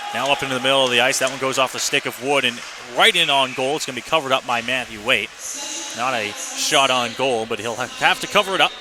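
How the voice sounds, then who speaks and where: A man giving live radio play-by-play commentary of a hockey game. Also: medium pitch. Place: commentary position in an indoor hockey arena. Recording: noisy arena ambience behind.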